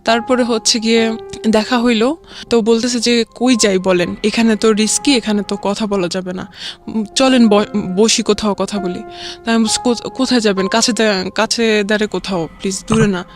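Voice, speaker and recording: Young woman, Bangladeshi accent, medium pitch, casual personal storytelling, quoted dialogue, faint music bed. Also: steady tone, normal speed. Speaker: guest telling a personal life story.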